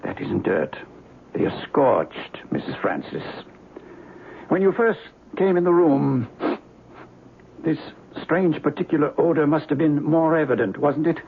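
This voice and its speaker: An actor playing a learned doctor who investigates the occult, speaking precisely and formally with ominous weight in a radio drama. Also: male voice, fairly high pitch, expressive, a little slow, gravelly. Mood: grave, ominous. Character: erudite, grave male occult investigator and doctor. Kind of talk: 1970s radio drama acting. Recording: dull, noisy old radio broadcast.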